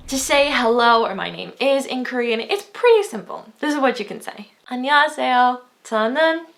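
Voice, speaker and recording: A young woman in her twenties who talks fast, with a fairly high voice. She teaches in a chatty, upbeat way, laughing as she explains. Her words come in quick, eager runs. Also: vocal fry, a bit bright. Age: 20s. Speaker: language teacher. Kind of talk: video language lesson.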